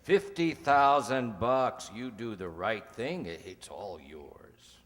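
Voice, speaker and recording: A man's voice: fairly low pitch, animated, takes it slowly. A man playing a surly, suspicious criminal henchman in an old-time radio crime drama, talking like an emphatic tough guy. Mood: pleading, desperate. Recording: echoey hall stage recording.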